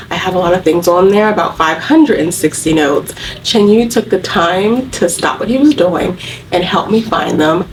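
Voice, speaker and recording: Distant-sounding woman educator. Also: on the low side. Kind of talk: interview testimonial. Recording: distant mic with faint room noise.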